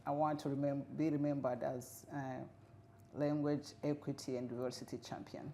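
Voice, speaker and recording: A middle-aged woman from Rwanda, whose first language is Kinyarwanda, speaking earnestly as an interview guest with frequent pauses and fillers. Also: rumbling low, level tone, warm tone, a little hiss or hum. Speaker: guest, refugee integration expert. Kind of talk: interview conversation.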